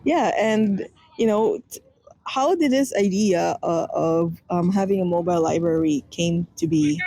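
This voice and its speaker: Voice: mid-range voice, big pitch swings, measured pace, creaky, dark tone, rough recording. A radio interviewer of Filipino heritage asking long, rambling questions full of fillers.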